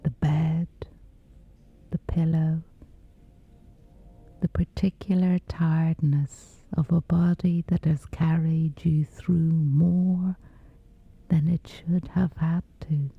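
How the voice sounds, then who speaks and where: Woman doing sleep meditation, super slow breathy whisper, low and creaky, kinda muffled sound. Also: little pitch movement, no echo. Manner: gentle, soothing, unhurried. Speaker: meditation guide.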